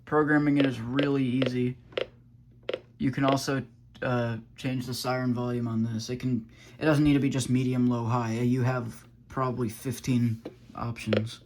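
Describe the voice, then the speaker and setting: A man's voice: mid-range voice, flat delivery, normal speed. Casual man reviewing gear, background noise. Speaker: weather radio hobbyist reviewing gear. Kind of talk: casual unscripted product review.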